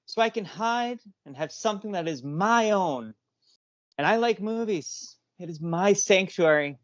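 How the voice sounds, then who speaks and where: A woman's voice: mid-range voice, expressive, unhurried, no echo, lightly acted. A woman acting out a chatty, bitter wife who runs a video store, in a comic audio play. She rambles, repeats herself and curses freely. The sound is close, dry and a little dull. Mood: bitter, gossipy. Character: chatty, bitter married female video store owner and wrestling boss.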